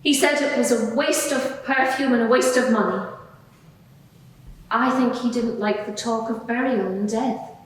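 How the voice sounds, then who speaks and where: In a reverberant hall, far from the microphone, a woman plays a frank female witness in her forties with a fairly high voice. She testifies plainly and earnestly, halting now and then, in a courtroom drama. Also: natural voice. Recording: echoey hall, distant microphone.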